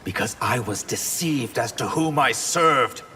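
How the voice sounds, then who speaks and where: An actor playing a proud, sardonic sorcerer in a fighting game, delivering wry, haughty challenges. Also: male voice, mid-range voice, lively intonation, unhurried, sharp tone, soft background music. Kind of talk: video game fight dialogue. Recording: long reverb, noisy game audio.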